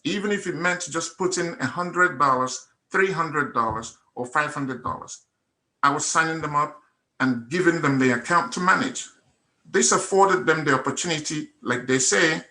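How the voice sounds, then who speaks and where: Bright-voiced man giving testimony. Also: fairly high pitch, low-quality recording. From Nigeria. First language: Igbo. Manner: earnest, emphatic, repeats phrases for effect. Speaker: testimonial speaker. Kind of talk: personal testimony at a meetup.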